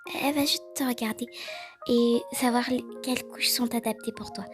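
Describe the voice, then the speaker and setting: Woman acting a high-voiced, hesitant, worried mother. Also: fairly even intonation, a little fast, close to an everyday voice. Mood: polite, instructing. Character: polite young mother, worried about her little daughter. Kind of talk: improvised toy role-play story. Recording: music behind the voice.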